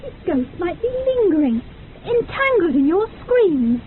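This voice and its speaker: A woman's voice: very high voice, big pitch swings, a little slow, slight background noise, full character voice. An actress playing an eerie, fragile young woman in a radio horror drama, plaintive and ethereal in manner. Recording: thin phone-like band with music behind.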